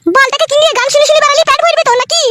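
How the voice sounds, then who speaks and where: A performer voicing a sharp-tongued, scolding young village wife in a comedy cartoon, with a rural West Bengal accent. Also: very high voice, steady tone, racing, very noisy. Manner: scolding, foul-mouthed.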